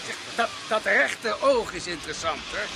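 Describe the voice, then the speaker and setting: An actor playing a matter-of-fact doctor in a Dutch radio drama, wry and chatty with a bluntly clinical manner. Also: male voice, high voice, lively intonation, normal speed, creaky. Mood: matter-of-fact, insistent. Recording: noisy old radio play recording.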